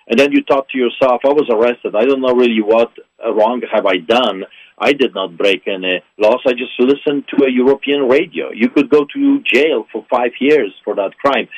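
A man's voice: medium pitch, no echo, poor audio. A man with a Polish accent, a pastor speaking as a guest in a radio interview, impassioned and emphatic. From Poland. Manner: impassioned, emphatic, repeats phrases.

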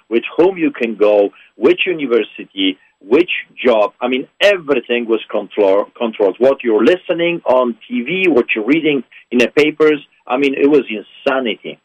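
A man's voice: medium pitch, expressive, normal speed, hardly any pauses, no room sound, highs cut off. A man with a Polish accent, a pastor speaking as a guest in a radio interview, impassioned and emphatic. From Poland. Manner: impassioned, emphatic, repeats phrases.

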